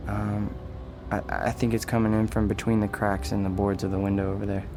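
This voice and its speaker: A man's voice: lowish voice, monotone, a little fast, over music, natural voice. An actor playing a policeman in a radio drama, hesitant, conversational and placating. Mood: gentle, reassuring. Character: reassuring, injured policeman humouring an old woman. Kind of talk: radio drama acting, naturalistic.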